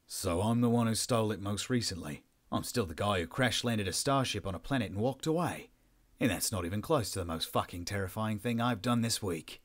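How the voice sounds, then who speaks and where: A man's voice: on the low side, fairly quick, lightly acted. A man voicing a defiant, sullen soldier held prisoner in an audiobook story, insolent and snarling. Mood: defiant, arrogant. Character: defiant, foul-mouthed Australian male prisoner, sullen soldier.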